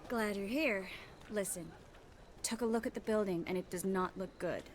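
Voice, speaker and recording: An actress voicing a resolute young-sounding sorceress in a video game, speaking in an earnest, assured manner. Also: female voice, mid-range voice, moderate pace, slight background noise, natural voice. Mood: serious, focused. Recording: music behind the voice.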